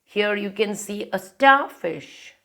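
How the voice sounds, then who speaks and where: A woman's voice: medium pitch, expressive, takes it slowly, vocal fry, a bit bright. A woman hosting a children's storytime, reading a picture book aloud and pointing out the pictures.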